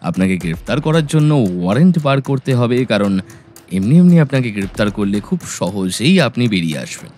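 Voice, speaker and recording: A man's voice: on the low side, darkish tone. A man playing a shrewd, confident detective in an audio story, laying out accusations in a probing, methodical way. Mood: firm, confident. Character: shrewd, confident male detective confronting a suspect. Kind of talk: Bengali audio story acting. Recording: music bed under voice.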